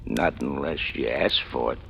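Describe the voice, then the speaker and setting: An actor playing a talkative, self-deprecating ex-convict in an old radio crime drama, with a gravelly, folksy, slangy delivery. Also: male voice, very deep voice, normal speed. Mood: musing, blunt. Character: talkative, self-deprecating ex-convict stick-up man, cheerfully fatalistic. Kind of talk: 1940s-50s radio crime drama acting. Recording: muffled, hissy old radio recording.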